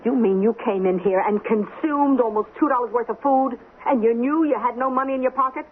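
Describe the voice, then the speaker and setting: An actress playing a stern, principled diner owner, prim and emphatic, scolding in 1970s radio drama style. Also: female voice, a little high, a little fast, close to an everyday voice. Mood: indignant. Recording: thin phone-like old radio, faint noise.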